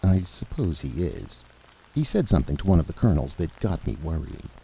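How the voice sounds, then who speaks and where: A man plays a folksy, inquisitive general in an old radio drama. His voice is very deep and gravelly, his talk informal and probing. The recording sounds muffled. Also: sing-song, a little fast, heavily acted. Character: gruff, folksy, nagged-by-curiosity male army general. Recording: dull, noisy vintage radio recording.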